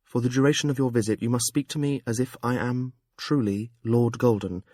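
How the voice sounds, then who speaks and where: A man's voice: mid-range voice, fairly even intonation, brisk, warm tone, no echo, pitched above the performer's own voice, natural voice. A man narrating a storybook and voicing a lighter-toned disguised persona who sounds frightened and earnest. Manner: earnest, anxious.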